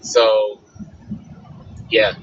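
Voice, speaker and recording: A man with a raspy, hoarse voice from being sick, a panel guest talking bluntly in casual chat. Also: lowish voice, completely flat delivery, very slow, fairly bright tone. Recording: muffled audio with faint background noise.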